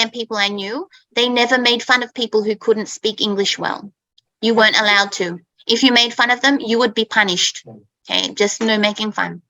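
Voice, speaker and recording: A woman in her thirties speaks in a chatty, conversational way, often checking in with "okay?". Her voice is bright and a little high. The sound is dry and close, with no room echo. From Australia. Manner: chatty, keeps adding "okay?". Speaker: speaker in an English-learning talk. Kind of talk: personal story told to learners.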